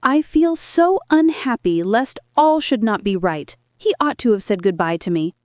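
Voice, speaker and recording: A simple-hearted, worried father from a storybook, as a woman reader voices him. He speaks plaintively, sounding gently puzzled. The reading is lively in pitch and recorded dry. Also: moderate pace, no room sound, close to an everyday voice. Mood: uneasy.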